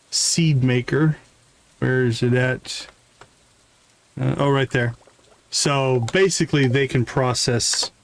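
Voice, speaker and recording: A man hosting a game stream, casually explaining what is on screen and thinking aloud in an informal way. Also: mid-range voice. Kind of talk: casual live gameplay commentary, explaining as they play.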